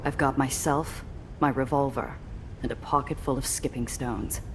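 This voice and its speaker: Noir game lead: extremely low female register, gritty and defiant, dramatic first-person narration. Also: steady tone, moderate pace, slight background noise, poor audio. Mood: tense, wry. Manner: hardboiled, dramatic delivery.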